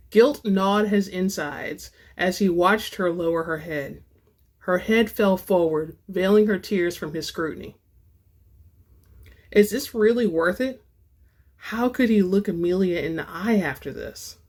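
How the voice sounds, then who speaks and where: Expressive woman hosting a book reading. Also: on the low side, measured pace. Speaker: host and reader of book samples. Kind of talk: casual chat and dramatic book narration.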